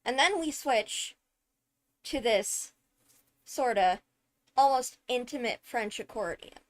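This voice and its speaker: A woman streamer giving casual, emphatic analysis of a game video, halting as she thinks through points. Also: on the high side, lively intonation. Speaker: streamer, host.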